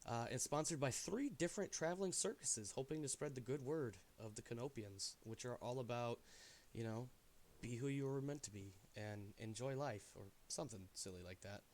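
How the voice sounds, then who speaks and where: Man, stream host, stuffy-sounding, lively and jokey, rambling asides, mid-pitched voice. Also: moderate pace, a little hiss or hum. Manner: animated, jokey, often trailing off into asides. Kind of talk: casual stream commentary.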